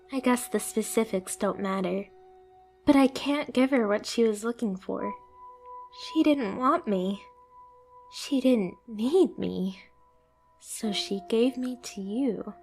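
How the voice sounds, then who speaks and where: A woman playing a timid, clumsy young catgirl pet who is eager to please, speaking in a meek, hesitant, apologetic way in an anime-style ASMR roleplay. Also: medium pitch. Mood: sad, subdued, grateful.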